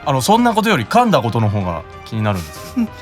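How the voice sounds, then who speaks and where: A man's voice: medium pitch, huge pitch swings, very fast, over music. A man, a voice actor guesting on a podcast, chatting casually and playfully with frequent short interjections.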